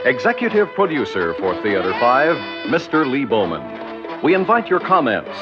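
A man announces formal credits in classic radio style. His pitch is very lively and varied. Loud orchestral music plays behind him on a dull vintage recording. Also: moderate pace.

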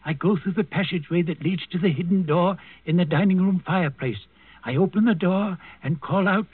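An actor playing an old Danish servant and secret resister, telling his story deliberately in a 1940s radio drama. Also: high-pitched, slightly rough, dry recording. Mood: dutiful. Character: old Danish servant, secret underground plotter, quietly defiant. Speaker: first-person storyteller in a radio play. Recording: muffled 1940s radio recording with music.

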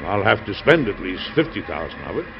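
An actor playing a genial middle-aged American president and husband in an old-time radio drama, speaking formally with a hearty, deliberate manner. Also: male voice, deep voice, sing-song, vocal fry, soft background music, exaggerated voice. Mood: jovial, affectionate. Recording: dull, noisy old radio recording.

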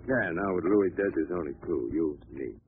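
Gravelly, low, sullen radio gangster. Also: male voice, animated, normal speed. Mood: gruff, wary. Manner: tough, curt, slangy. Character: sullen, treacherous male gangster with gravelly menace. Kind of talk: 1940s radio drama acting. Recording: hissy, tinny old radio recording.

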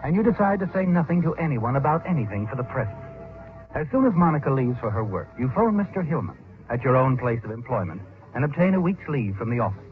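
An actor playing a knowing, insinuating narrator of an old radio mystery, telling the story in the second person. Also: male voice, medium pitch, sing-song, quick pace, over music, close to an everyday voice. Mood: knowing, suspenseful. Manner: dramatic, insinuating. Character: knowing, insinuating male narrator goading the guilty protagonist. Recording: tinny old radio recording.

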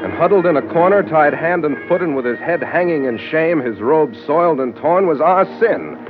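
A man's voice: a little high, lively intonation, moderate pace, lightly acted. An actor playing a tough, terse American sea captain who narrates his own adventure in an old radio drama. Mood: terse, wry. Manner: clipped hardboiled delivery. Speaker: lead actor and first-person narrator. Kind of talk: 1940s-50s radio adventure acting. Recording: tinny old radio recording, music behind.

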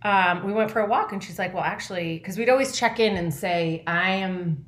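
A woman's voice: mid-range voice, normal speed, a bit bright. Woman in her forties, echoey room. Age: 40s. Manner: chatty, storytelling. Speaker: podcast co-host. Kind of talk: casual chat.

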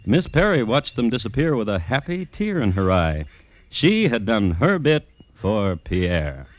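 Wry low-voiced male narrator. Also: big pitch swings, measured pace, no room sound, highs cut off. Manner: wry storyteller. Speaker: narrator and host. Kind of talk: scripted narration.

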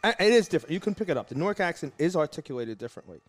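A man hosting a casual podcast chat, talking in a rambling, animated way. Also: a little high, soft background music. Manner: rapid-fire, rambling, animated. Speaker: podcast host. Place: pastry shop.

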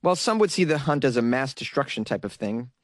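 A man's voice: mid-range voice, animated, quick pace, no room sound, clean studio sound. A man reading news stories aloud and breaking off into sarcastic, rambling jokes aimed at his viewers. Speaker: solo news commentary host. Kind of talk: casual commentary reading news articles.

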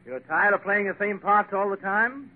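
A man's voice: high voice, moderate pace. A man playing a polished, insistent and patronizing Hollywood talent agent in a 1940s radio comedy. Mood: businesslike. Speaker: actor. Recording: tinny, hissy old 1940s radio broadcast.

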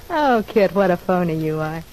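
A woman's voice: on the low side, darkish tone, a little hiss or hum, low-quality recording. Female prisoner: talkative, teasing, slangy needler, classic radio soap style. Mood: mocking. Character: needling, wisecracking woman prisoner, mocking her cellmate. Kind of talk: old-time radio drama acting.

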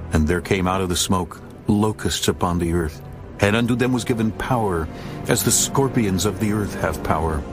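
A man with a fairly low voice narrates scripture as audio drama. His delivery is solemn and deliberate, set over clearly audible music.

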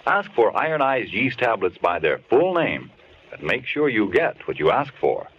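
A man's voice: mid-range voice, very animated, moderate pace, a little hiss or hum. A man reading an old-time radio advertisement and sign-off as announcer, in an emphatic salesman manner. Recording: dull old radio broadcast.

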